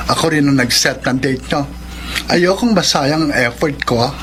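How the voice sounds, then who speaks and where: A man's voice: fairly high pitch, normal speed, background noise, lightly acted. Actor as a sassy, campy young Filipino man. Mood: affectionate. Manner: campy, flamboyant, mock-disgusted. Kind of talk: Tagalog romantic radio drama acting.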